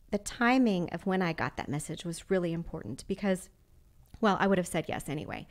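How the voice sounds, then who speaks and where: A woman guest on a podcast, telling stories with an enthusiastic, rambling manner. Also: medium pitch. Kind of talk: casual interview chat.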